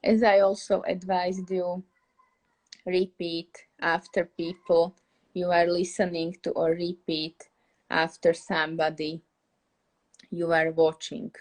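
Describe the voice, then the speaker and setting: A woman's voice: on the low side, darkish tone, rough recording. A young woman from Slovakia with a Slovak accent, casually teaching English on a live stream, chatty and laughing often as she searches for words.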